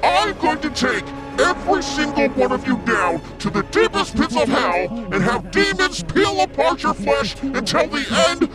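An actor playing a giant evil dragon villain, bombastic and theatrical, ranting in an animated parody. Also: male voice, very high voice, normal speed, hardly any pauses. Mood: furious, threatening. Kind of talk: over-the-top animated parody villain acting. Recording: loud music under the voice.

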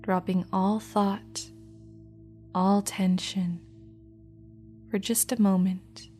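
Female meditation guide, slow, mellow, half-whispered, even delivery over faint music. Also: mid-range voice.

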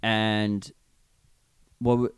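New York male co-host, smooth resonant low voice, loose rambling delivery, dry close mic. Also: flat delivery, drawn-out words, dry recording. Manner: rambling, restarts and hedges, blunt. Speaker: podcast co-host. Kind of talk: casual chat.

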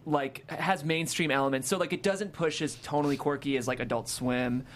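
A man's voice: on the high side, steady tone, moderate pace. A man hosting a casual panel discussion, talking in a hedging, conversational way. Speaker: panel show host. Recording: clear background room noise.

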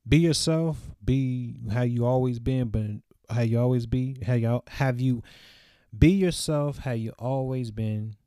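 A man's voice: mid-range voice, unhurried, warm tone, clear, resonant tone, no echo, clean studio sound. A man in his twenties talking to camera in a loose, reflective monologue full of fillers, recorded in a small room. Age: 20s. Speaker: vlogger. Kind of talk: casual rambling monologue.

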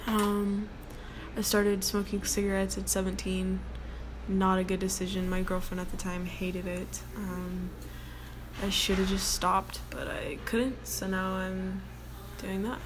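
Laid-back young woman with a flat, deep voice. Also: a little slow. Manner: rambling, many ums and likes, laid-back. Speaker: podcast host. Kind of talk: casual solo podcast chat. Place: at her workplace. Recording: noticeable background noise.

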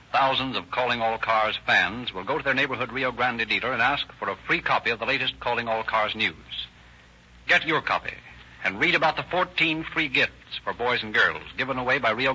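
A man announces a commercial in 1930s radio style, with a piercing, forceful tone and husky edge. His scripted delivery is polished and emphatic, on a muffled old broadcast recording. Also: mid-range voice.

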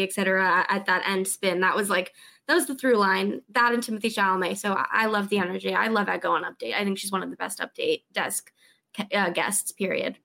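Brief: hoarse woman panelist, gravelly and chatty. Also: medium pitch, pushed and bright. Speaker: podcast co-host guest. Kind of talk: casual roundtable chat.

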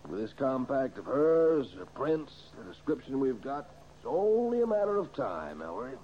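A man's voice: medium pitch, very animated, normal speed, lightly acted. An American man playing a wry, folksy older police inspector and father in an old-time radio drama. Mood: businesslike. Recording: muffled, hissy old radio recording.

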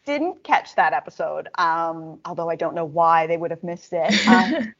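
A woman's voice: lowish voice, lively intonation, measured pace, a bit bright, muffled recording. A woman hosting an interview podcast, encouraging and upbeat with frequent fillers. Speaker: podcast host.

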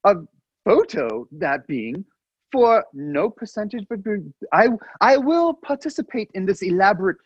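A man's voice: sits high, sing-song, measured pace, husky, no room sound, muffled recording, pitched above the performer's own voice, exaggerated voice. A man voicing Randolph, a pompous, indignant young royal, with a put-on Polish accent and a fussy, grandiose manner.

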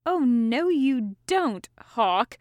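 A woman's voice: on the high side, sing-song, very slow, dry recording, pitched above the performer's own voice, exaggerated voice. A woman voicing a bold, careless pirate woman who teases and bosses her friends in a fantasy story. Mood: scolding. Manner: careless, teasing, commanding.

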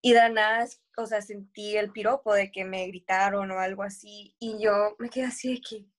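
A woman's voice: mid-range voice, steady tone, normal speed. Young woman telling an anecdote. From grew up in the United States. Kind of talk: casual storytelling.

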